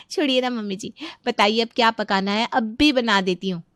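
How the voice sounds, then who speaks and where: An actress playing a carefree young daughter-in-law, wheedling and childlike, in a comic family story. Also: female voice, fairly high pitch, big pitch swings, unhurried, dry recording, studio quality. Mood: giggly, wheedling. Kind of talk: animated family comedy story acting.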